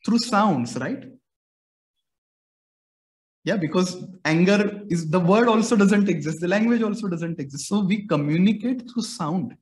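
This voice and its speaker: A young man from India talks through his ideas in Indian-accented English, his first language being Marathi. His voice sits a bit higher than most men's. He explains patiently, like a teacher walking a student through everyday comparisons. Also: a little slow. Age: young adult. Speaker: music educator. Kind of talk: explanatory interview answers.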